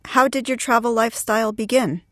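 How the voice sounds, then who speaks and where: A woman's voice: a little high, fairly even intonation, a little fast, fairly bright tone, no echo, clean studio sound. A woman interviewer reading scripted questions and introductions for a listening exercise.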